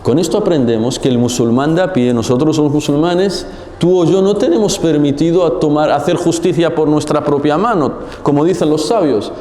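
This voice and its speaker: Man teaching in an echoey hall. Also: on the high side. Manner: animated, rhetorical questions, repeats phrases. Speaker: religious teacher. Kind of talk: Islamic religious lesson. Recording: echoey hall with long reverb.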